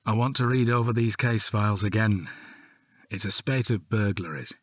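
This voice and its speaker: Guy acting grumpy british detective husband, middle aged, rough husky voice, sarcastic, dry studio sound, radio play. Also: on the low side, normal speed, no room sound, lightly acted. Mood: preoccupied. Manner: deadpan, sardonic. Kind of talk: audio drama acting.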